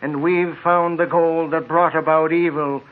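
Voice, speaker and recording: An actor playing an urgent, insistent desert traveler with a husky voice, earnest and melodramatic, in a vintage radio drama. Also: male voice, on the high side, little pitch movement, unhurried, very noisy, lightly acted. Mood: awed. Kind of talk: 1940s radio drama acting. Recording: tinny vintage radio recording.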